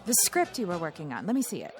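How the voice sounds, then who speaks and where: A woman's voice: lowish voice, wildly swooping pitch, fast talker. An actress in a radio drama playing a flippant, flirty, party-loving wife who talks in period slang, with music behind her. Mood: teasing scornful.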